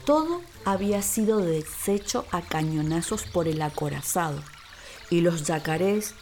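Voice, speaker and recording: A woman storyteller narrating for children in an expressive, dramatic way, with deliberate, emphatic phrasing. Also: fairly low pitch, slight background noise. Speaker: actress, storyteller. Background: music and water sound effects.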